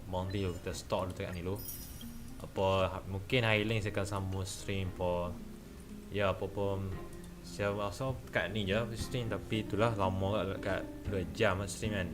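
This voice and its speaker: A man with a Malaysian accent chatting casually on a game livestream, rambling and repeating himself. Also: deep voice. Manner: rambling, repetitive. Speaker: game streamer.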